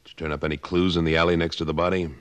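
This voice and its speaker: Man doing a tough cattle rancher, real deep voice, blunt and pushy, old radio western. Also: flat delivery, very fast, smooth, lightly acted. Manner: blunt, pressing. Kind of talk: 1950s radio drama acting.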